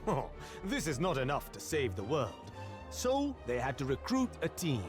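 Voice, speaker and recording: An actor playing a cocky young rogue hero who narrates theatrically and playfully for a game cinematic, full of boastful flair. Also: male voice, medium pitch, huge pitch swings, normal speed, music in the background. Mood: dramatic storytelling. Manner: boastful, playful, self-regarding.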